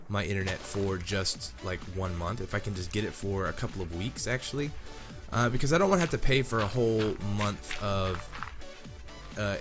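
A young man with a head cold, his voice nasal and scratchy, chatting casually while playing a game. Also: on the low side. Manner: rambling, chatty, many fillers like "um" and "like". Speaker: Minecraft YouTuber. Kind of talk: casual commentary over gameplay. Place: home room, recording near a sleeping girlfriend. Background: background music.

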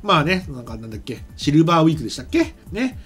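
A man's voice: a little high, sing-song, fairly bright tone. A middle-aged man chatting casually as a web show commentator, rambling and often correcting himself. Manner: rambling, halting, self-correcting. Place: small indoor streaming studio. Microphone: desk microphone.

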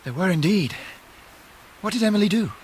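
An actor playing a kindly, earnest young gentleman with a polite, gentle manner in a radio drama. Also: high-pitched, lively intonation, fairly quick, slight background noise. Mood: concerned. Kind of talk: 1990s radio drama acting.

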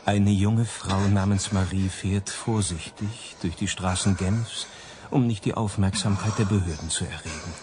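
An actor playing a suggestive story narrator in a German radio crime drama, measured and hypnotic in his delivery. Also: low voice, fairly even intonation, background noise. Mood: measured, suspenseful. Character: deep-voiced, suggestive male story narrator. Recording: loud music behind the voice.

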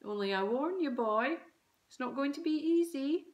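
A woman voicing a weary, gruff middle-aged dad who speaks bluntly. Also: sits high, lively intonation, moderate pace, muffled recording, voice pushed up. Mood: earnest.

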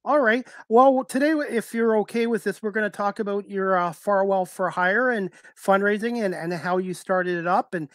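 Casting: male talk show host, middle-aged, notably high voice, dry close sound. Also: moderate pace, few pauses, a bit bright, dry recording. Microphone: headset on a video call.

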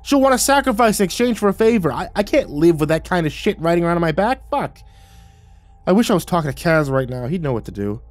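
A man's voice: medium pitch, sing-song, quick pace, hardly any pauses, no echo, soft background music, lightly acted. A man voicing an anxious, grumbling, foul-mouthed man in an eagle's body, with slangy, groaning delivery, in a narrated story. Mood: distressed. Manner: groaning, sputtering, slangy complaining.

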